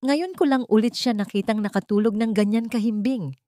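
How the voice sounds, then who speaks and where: A woman voicing a serious, reserved wealthy father in an audio drama, speaking quietly and with measured care. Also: fairly high pitch, no echo, pitched above the performer's own voice, lightly acted.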